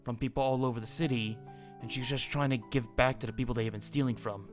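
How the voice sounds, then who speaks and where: A man's voice: medium pitch, steady tone, fast, soft background music. A man improvising a school headmaster in a game roleplay, explaining things at length in a chatty way.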